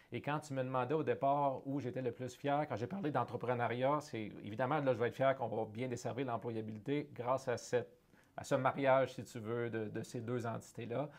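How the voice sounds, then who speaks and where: A middle-aged man with a Canadian French accent, answering interview questions earnestly, often restarting phrases as he searches for words. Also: mid-range voice. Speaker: guest, head of a francophone economic development organization. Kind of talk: conversational interview.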